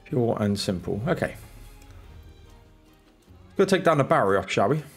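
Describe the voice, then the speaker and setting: A man's voice: mid-range voice, very animated, unhurried, gravelly, slightly rough, faint music behind. A man hosting a casual let's-play, congested from a cold, talking in a laid-back, muttering, self-deprecating way into a desk microphone. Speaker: gaming channel host. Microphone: desk microphone, off camera.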